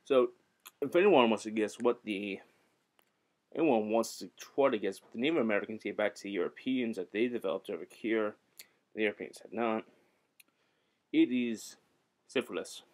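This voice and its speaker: A young man in a home basement rambling through comic history in a deliberately bad, put-on Scottish accent, swearing and a bit tipsy. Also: lowish voice. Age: young adult. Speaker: vlogger. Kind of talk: rambling comedic history vlog.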